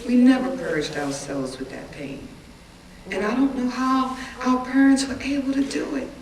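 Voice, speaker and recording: A woman performing a dramatic stage reading as a middle-aged witness recalling hard times, pained and resolute, with emphatic, deliberate storytelling. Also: fairly high pitch, gravelly, a little mellow. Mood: frustrated, grieving, then tender reflection. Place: live reading venue, indoors. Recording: roomy, distant live-event recording with audience noise.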